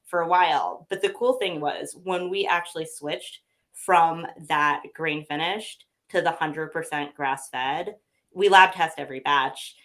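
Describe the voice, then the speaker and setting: A woman's voice: sits low, measured pace, a bit bright. A woman talking casually about how her company sources its meat, chatty, with many fillers and restarts, a bit sniffly. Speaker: pet food company representative. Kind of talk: casual conversational interview.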